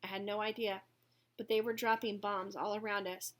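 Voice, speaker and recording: A woman's voice: mid-range voice, flat delivery, moderate pace, fairly bright tone. A woman narrating a first-person story from a written text, as a reader of an audiobook.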